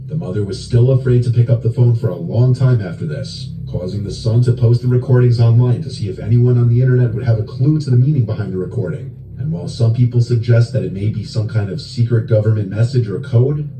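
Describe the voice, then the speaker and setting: Male narrator for scripted scary true stories, mid-pitched, with backing music. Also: fairly quick. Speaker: horror story narrator. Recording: roomy echo with music behind. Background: background music.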